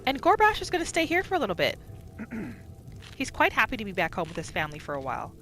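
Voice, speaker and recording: A woman giving casual, chatty roleplay commentary as the host of a gaming channel, narrating in an expressive way. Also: medium pitch, fast, soft background music. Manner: expressive, chatty, narrating characters' thoughts in third person.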